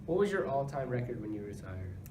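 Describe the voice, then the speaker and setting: A man's voice: mid-range voice, big pitch swings, a little fast, echoey room, far from the mic. Male reporter, noisy recording. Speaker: news reporter interviewing a guest. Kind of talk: scripted mock news interview.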